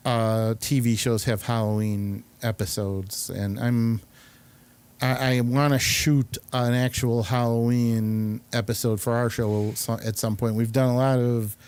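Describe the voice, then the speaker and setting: A man with a clean, smooth voice at a middling pitch hosts a relaxed group discussion. He talks casually and offers opinions freely as he steers the conversation. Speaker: show host. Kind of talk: casual round-table chat about films and TV.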